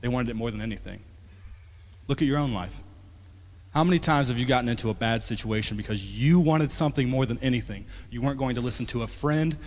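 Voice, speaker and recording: A man in his late twenties preaching a sermon as pastor, talking conversationally and swinging from emphatic to quiet. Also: mid-range voice, fast. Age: 20s. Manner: conversational, rising to emphatic then dropping soft. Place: church sanctuary. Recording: long church hall reverb.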